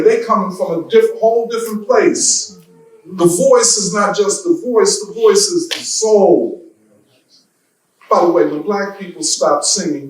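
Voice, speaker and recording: Slow man preaching in an echoey church. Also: high-pitched. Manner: slow deliberate preaching with rhetorical questions. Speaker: guest speaker. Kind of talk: church lecture speech. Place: church hall. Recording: echoey hall from far off.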